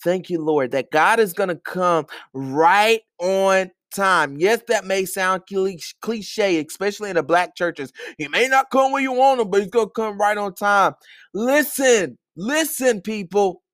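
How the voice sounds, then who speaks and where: A man's voice: sits high, animated, unhurried, a bit bright, no room sound, clean studio sound. A man hosting a podcast, giving an earnest, sermon-like talk of encouragement from his bedroom, repeating phrases for emphasis. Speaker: podcast host.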